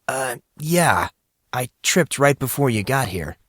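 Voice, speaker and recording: A man's voice: mid-range voice, lively intonation, takes it slowly, a bit bright, no echo, clean studio sound. A man voicing an embarrassed teenage boy, awkward and dryly sarcastic, in an audiobook story.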